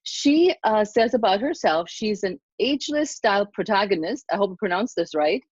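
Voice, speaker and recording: A woman's voice: mid-range voice, normal speed, breathy edge, no room sound. Enthusiastic middle-aged woman hosting a podcast. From Germany. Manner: excited, effusive, gushing. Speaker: podcast host. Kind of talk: enthusiastic interview introduction. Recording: dull dry lo-fi sound.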